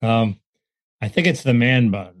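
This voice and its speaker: Male co-host, middle pitch, dry room; wry, jokey, chuckles a lot. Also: lively intonation, unhurried, dry recording. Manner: wry, joking, laughs between points. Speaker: podcast co-host. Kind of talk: casual political chat.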